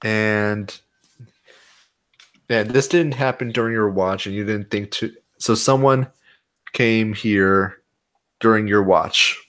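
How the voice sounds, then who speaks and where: Low-voiced young man playing a tabletop game. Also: slow pace, pauses often, fairly bright tone. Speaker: player. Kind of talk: casual game chat.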